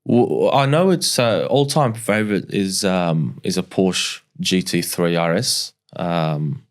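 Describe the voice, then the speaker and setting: A man's voice: low voice, slow. A young man telling his story as a podcast guest in casual chat, repeating words and laughing often. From grew up partly in Lebanon.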